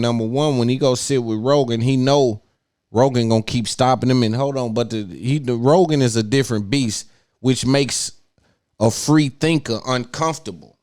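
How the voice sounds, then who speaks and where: A man's voice: mid-range voice, a little mellow, smooth, dry recording, clean studio sound. A man hosting a podcast, giving an opinionated monologue and repeating phrases for emphasis. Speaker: podcast host.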